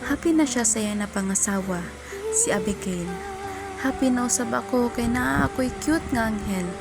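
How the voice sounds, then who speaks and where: A woman's voice: a little high, moderate pace, gravelly, loud music behind the voice. A woman playing a heartbroken young woman who narrates her own love story in a radio drama. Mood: resolved.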